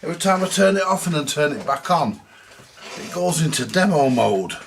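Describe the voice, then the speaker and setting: A man's voice: fairly high pitch, expressive, normal speed, slight background noise. A man with a British accent giving casual, grumbly, mildly sweary commentary to viewers while doing hands-on repair work. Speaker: host of a vintage radio repair vlog.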